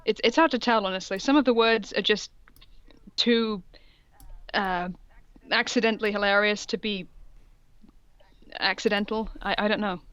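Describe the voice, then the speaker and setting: A woman's voice: mid-range voice, fairly even intonation, moderate pace, pauses often, a bit bright, clean tone, dry recording, lo-fi sound. A woman co-host reading fan fiction aloud in a comic dramatic style, mock-serious and amused, with casual sweary asides.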